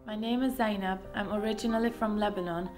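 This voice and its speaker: A woman's voice: mid-range voice, fairly even intonation, fast, music in the background. A young woman raised in Sierra Leone, an asylum seeker, retelling her family's story in a plain, conversational way. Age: young adult. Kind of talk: personal narration.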